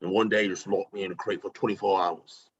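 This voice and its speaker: A man playing a gruff, guarded mercenary father with a husky voice and terse delivery in an amateur table read. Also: deep voice, steady tone, quick pace, lightly acted. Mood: haunted, protective. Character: gruff, guarded middle-aged mercenary father, quietly protective. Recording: dull lo-fi microphone.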